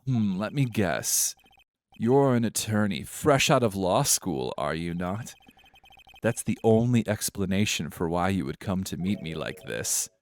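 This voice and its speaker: A man's voice: low-pitched, expressive, a little slow, no room sound. A man voicing a smug, pompous CEO from a video game in an affected, mock posh British accent. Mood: condescending. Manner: pompous, affected, showy with big words. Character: smug pompous male CEO, slick salesman type. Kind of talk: live-read video game character voice.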